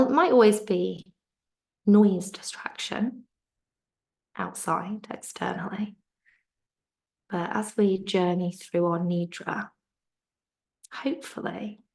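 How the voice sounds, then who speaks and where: A woman leads a guided relaxation in an unhurried, gently lowered voice. Her tone is soft and soothing, with a gravelly fry under the words, and at times she drifts into a whisper. It sounds like it was picked up over a video call. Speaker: yoga nidra teacher.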